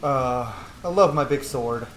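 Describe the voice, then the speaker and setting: A man's voice: medium pitch, unhurried, fairly bright tone, echoey room, a little hiss or hum, rough recording. A man giving casual, irreverent gameplay commentary, muttering asides and swearing lightly. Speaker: video game streamer.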